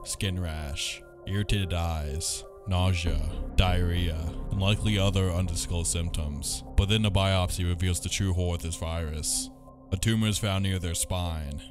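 A man reading a scripted video essay as its narrator. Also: low voice. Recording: loud music under the voice.

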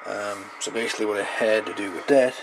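Gravelly man over clear background noise. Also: lowish voice, fairly even intonation, quick pace. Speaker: electronics hobbyist demonstrating a DIY radio project. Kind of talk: casual hands-on tutorial, unscripted. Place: indoors at a cluttered electronics workbench. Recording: noisy camera microphone at a workbench.

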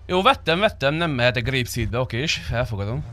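A man's voice: medium pitch, expressive, bright, forceful tone. A man talking bluntly and casually, with swearing, while playing an online role-play game. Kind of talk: casual gaming chat. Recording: faint game engine noise behind. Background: video game car engines.

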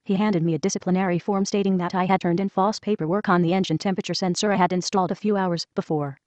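A woman reading a first-person letter aloud in a brisk, even manner. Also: lowish voice, no room sound. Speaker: reader of a whistleblower letter. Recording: dull lo-fi voice track.

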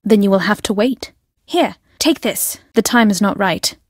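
A woman playing a secretive, fervent guardian of her family's destiny in a video game, speaking solemnly and deliberately. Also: mid-range voice, dry recording, clean studio sound, close to an everyday voice. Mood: guarded, firm.

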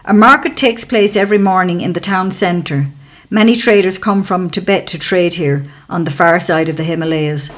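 Calm woman narrating a travel guide. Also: lowish voice. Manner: calm, informative, measured. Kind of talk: scripted travel documentary narration.

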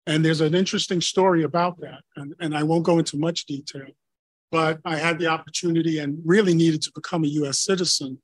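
Man in his sixties, Spanish first language, no accent, relaxed storytelling, slightly high pitch. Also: a little fast, dry recording. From Honduras. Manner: conversational, storytelling. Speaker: panelist. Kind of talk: personal account on a panel.

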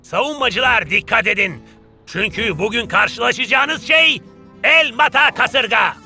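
Vain, high, piercing star footballer. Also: male voice, animated, a little slow, vocal fry, bright, forceful tone, soft background music, full character voice. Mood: boastful. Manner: boastful, dramatic, showy. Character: vain, theatrical star footballer starring in his own reality show. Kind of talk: children's cartoon dub acting.

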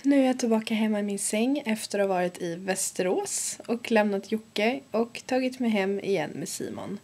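A woman's voice: mid-range voice, expressive, darkish tone. A young woman vlogging casually at home, chatting upbeat and straight to her viewers into a camera held at arm's length. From Sweden. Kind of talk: casual vlog talk. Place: bedroom at home, indoors. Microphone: handheld camera at arm's length.

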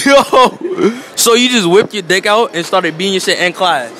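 A young man running a casual street interview in a shopping mall, excitable and full of slang. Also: sits high, moderate pace, low-quality recording. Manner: loud, excitable, slangy teen banter. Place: busy shopping mall indoors. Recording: music and crowd noise behind.

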